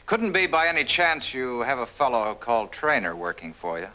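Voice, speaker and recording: Tense, blunt young noir hero. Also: male voice, medium pitch, very animated, moderate pace, sharp tone. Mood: suspicious. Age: young adult. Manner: blunt, insistent, disbelieving. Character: suspicious, blunt young man hunting for his fiancée. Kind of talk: 1940s film noir acting. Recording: old 1940s film soundtrack.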